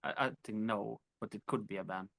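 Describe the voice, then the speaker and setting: A man's voice: on the low side, brisk, creaky, bright, forceful tone, no echo, studio quality. A man who is a musician guest in a casual interview, answering hesitantly with many fillers.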